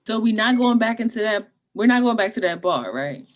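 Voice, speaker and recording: A woman's voice: a little high, lively intonation, brisk, close to an everyday voice. A woman playing a laid-back, teasing friend who talks in casual slang, in a comedy table read. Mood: sheepish.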